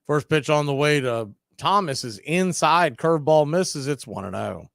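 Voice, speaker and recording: A man calling a live baseball game on radio, chatting and telling side stories between pitches. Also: lively intonation, moderate pace, vocal fry, no room sound, clean studio sound. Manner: chatty, tells side stories and laughs at them. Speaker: radio play-by-play announcer. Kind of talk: live baseball play-by-play with casual chat.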